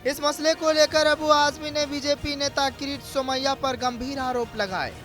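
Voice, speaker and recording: A man's voice: very high-pitched, steady tone, normal speed, fairly bright tone. A man reading a dramatic scripted news report as a voice-over. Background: music bed.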